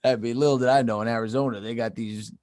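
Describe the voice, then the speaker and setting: A man's voice: mid-range voice, steady tone, fast, a little mellow, no room sound, studio quality. A man co-hosting a podcast in casual chat, easygoing and teasing in his banter. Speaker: podcast co-host.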